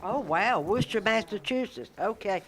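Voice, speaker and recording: A woman's voice: mid-range voice, expressive. A woman from Georgia, hosting a birthday gathering and chatting and joking as she asks people their names. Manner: chatty, teasing, quick to joke. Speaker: event host at a senior birthday gathering. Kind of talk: casual emcee chat, asking names.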